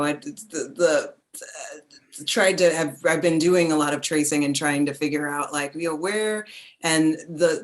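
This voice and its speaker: Low-voiced woman in informal discussion. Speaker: performer on a panel. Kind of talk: reflective, informal panel conversation.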